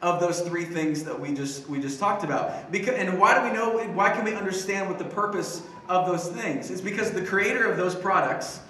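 A man's voice: sits high, moderate pace, few pauses, sharp tone, far from the mic, slight background noise. A young man speaking as a youth pastor on a church stage into a handheld mic, upbeat and chatty, with many fillers and restarts. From Philippines. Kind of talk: sermon. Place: church stage with band gear. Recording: echoey room, voice from afar.

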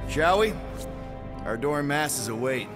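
A man's voice: fairly high pitch, animated, takes it slowly, over music. A man playing an easygoing, roguish team leader in a game, casual and wry. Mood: wry, pleased.

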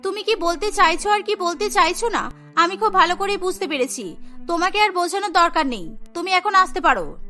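Exasperated, very high-voiced housewife, voiced by a woman. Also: animated, fairly quick, pushed and bright, soft background music, exaggerated voice. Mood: stern. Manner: indignant, complaining. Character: exasperated housewife and employer, firm, complaining.

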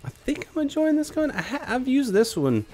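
A man's voice: very high voice, sing-song, brisk, darkish tone, soft background music. A man streaming a shooter game with casual, joking commentary to viewers, his voice worn out from a cold. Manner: joking, talks to viewers while playing. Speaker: gaming streamer. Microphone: headset or desk mic, no camera.

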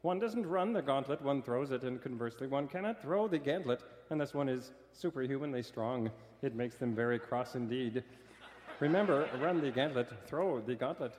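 A man's voice: medium pitch, expressive, normal speed, darkish tone, in a large hall, a little hiss or hum, natural voice. A man playing a fussy, prim grammar stickler who lectures precisely in a radio comedy sketch. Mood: pedantic.

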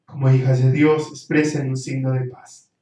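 Solemn priest in an echoey church. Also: male voice, mid-range voice, level tone, mellow. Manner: solemn, measured liturgical recitation. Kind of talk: celebrating mass. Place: small church chapel, indoors, at the altar. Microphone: far camera. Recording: very echoey church, distant camera.

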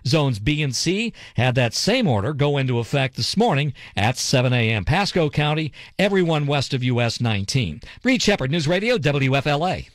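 An American man reading a radio news bulletin in a measured, formal manner as a reporter. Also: mid-range voice, sing-song, no room sound.

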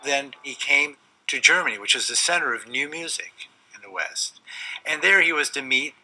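Man, 60s, documentary interviewee, measured and explanatory, mid-pitched, heavy music bed. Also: expressive, low-quality recording. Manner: measured, explanatory, gestures while speaking. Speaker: art expert interviewee. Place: cluttered indoor studio. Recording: loud music under the interview.

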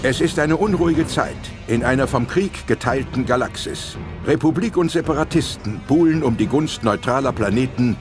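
A man narrating an audio drama in a dramatic scripted style. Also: medium pitch. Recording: music behind the voice. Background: prominent music.

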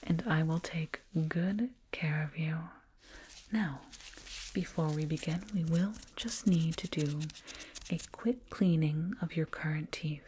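A woman performing a whispered ASMR roleplay as a soothing, condescending alien dentist, breathy and close to a binaural microphone, repeating words rhythmically. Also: low-pitched, takes it slowly, darkish tone, slight background noise. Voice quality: breathy, mostly unvoiced whisper. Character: soothing, condescending female alien dentist tending a human patient.

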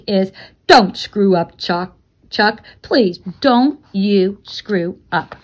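A woman with a fairly high voice reads a children's novel aloud. Her delivery is expressive and deliberate, in the way a teacher reads a story to students.

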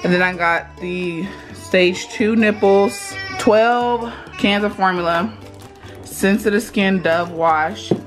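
A young woman with a Texan accent talks directly to her viewers in a relaxed, chatty way. She films herself at arm's length in her home kitchen, and a little music plays faintly beneath her voice. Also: mid-range voice, takes it slowly. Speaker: vlogger. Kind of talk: casual vlog chat. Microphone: handheld camera at arm's length.

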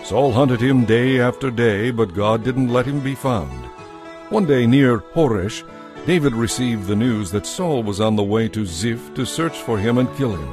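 Male narrator, mid-pitched, dramatized scripture storytelling with light music underneath. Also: lively intonation, a little slow, darkish tone.

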